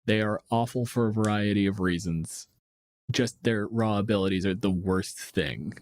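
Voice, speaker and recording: Low-voiced man chatting on podcast. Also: moderate pace, a little mellow, dry recording, studio quality. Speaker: podcast host. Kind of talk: casual podcast chat.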